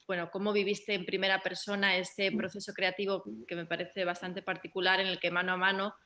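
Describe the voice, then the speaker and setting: A woman's voice: mid-range voice, little pitch movement, quick pace, bright, forceful tone. A woman hosting a bilingual Q&A, putting questions in polite, careful phrasing. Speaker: host, moderator. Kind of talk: moderating a bilingual Q&A.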